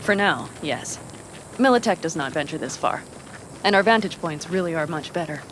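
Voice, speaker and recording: A young nomad woman from a video game, with a lowish voice. She is blunt, earnest and fiercely loyal, phrasing things formally. There is clear ambient noise around her. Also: animated, normal speed. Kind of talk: naturalistic video game acting.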